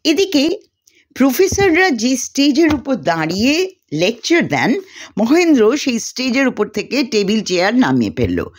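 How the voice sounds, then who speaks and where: A woman narrating a story in an expressive, dramatized storytelling style. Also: a little high, moderate pace. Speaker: story reader.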